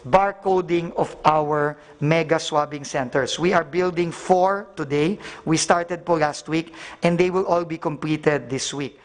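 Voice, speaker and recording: A middle-aged man with a Filipino accent giving a formal briefing report, switching between English and Filipino with polite particles and questioning tags. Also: on the high side. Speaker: government official reporting at briefing.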